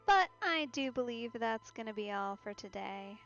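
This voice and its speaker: A woman's voice: fairly high pitch, lively intonation, moderate pace, fairly bright tone. A woman streaming a video game, giving casual live commentary. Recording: slightly dull sound over game music.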